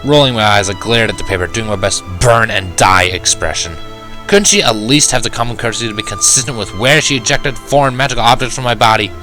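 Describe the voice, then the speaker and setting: A man narrating a first-person fan fiction story in an expressive, wry, dramatic manner. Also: on the low side, fast, soft background music. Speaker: audiobook narrator.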